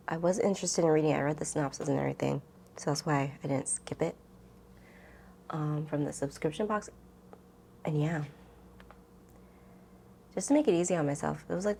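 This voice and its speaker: A woman's voice: lowish voice, gravelly, warm tone, slight background noise. A woman book vlogger talking casually and informally, rambling and thinking aloud as she answers questions. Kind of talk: casual chatty vlog, answering tag questions.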